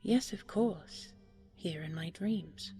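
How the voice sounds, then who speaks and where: A woman voicing a devoted, brave young princess in a bedtime fairy tale, speaking softly and lovingly with a breathy touch. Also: on the low side, slow, soft background music. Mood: dreamy, loving.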